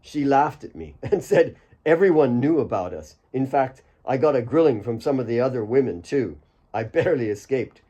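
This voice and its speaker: A man's voice: mid-range voice, lively intonation, normal speed, warm tone, lightly acted. A man voicing a modest, good-humoured young man in an audiobook, hesitant and wry. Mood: sheepish amusement.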